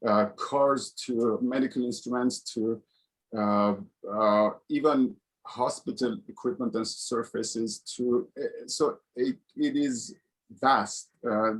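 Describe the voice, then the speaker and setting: A man's voice: on the low side, breathy edge, low-quality recording. Hesitant middle-aged man giving a talk. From Sweden. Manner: halting, earnest, many pauses. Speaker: guest speaker, engineer. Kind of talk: online colloquium presentation.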